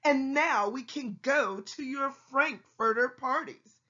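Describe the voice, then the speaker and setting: A woman's voice: sits high, takes it slowly, bright, forceful tone, lo-fi sound, pitched above the performer's own voice, full character voice. A woman voicing proud, squabbling, bird-like creatures in a rhyming storybook, exclaiming snootily. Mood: defiant, taunting. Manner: exclaiming, taunting. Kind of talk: rhyming storybook read-aloud.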